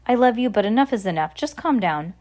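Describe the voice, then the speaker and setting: A woman's voice: mid-range voice, sing-song, slow. A woman voicing an impatient, exasperated father scolding his kids.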